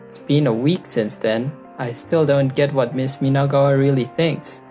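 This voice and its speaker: A woman's voice: normal speed, mellow. A woman playing an earnest, loyal young office worker and narrator in a manga dub, speaking in a conversational way. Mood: reflective narrating. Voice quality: low-pitched voice. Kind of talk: anime-style manga dub with first-person narration. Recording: background music under the voice. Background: music.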